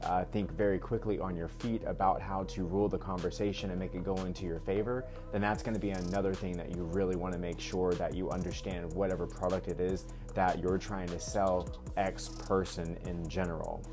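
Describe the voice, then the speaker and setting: A man in his thirties talks casually to his viewers, his voice sitting a bit low. He rambles, circling back with fillers and repeated words. The room rings with echo, and soft music plays faintly underneath. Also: fairly even intonation, brisk, few pauses. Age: 30s. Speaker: vlogger. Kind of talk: casual talk to camera. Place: indoors at home work space.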